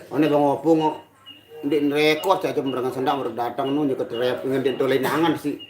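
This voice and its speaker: A man hosts a casual livestream in a mid-pitched, hoarse voice. He sounds like his throat is still recovering, and he greets people informally and unhurriedly. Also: fairly even intonation, a little fast, crowd noise. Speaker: livestream host and singer.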